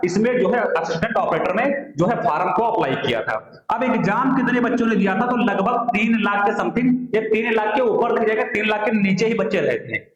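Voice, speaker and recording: A high-pitched male voice, heard in a very echoey, slightly muffled room. He explains like a coaching teacher. He poses questions, answers them himself and repeats key phrases as he goes. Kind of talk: explanatory lecture to online exam aspirants.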